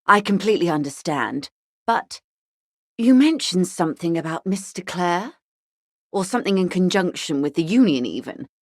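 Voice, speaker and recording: A poised older businesswoman speaks in a low register, captured close and dry. She is a shrewd video game negotiator, genteel and measured, with a wry diplomatic polish. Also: expressive, dry recording. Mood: polite, probing. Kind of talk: video game character dialogue.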